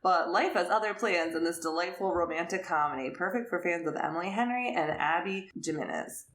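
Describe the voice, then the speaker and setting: Casting: woman podcast co-host, lower register, excitable casual chat, echoey room sound. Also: fairly quick, slight background noise. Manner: enthusiastic. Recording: roomy echo.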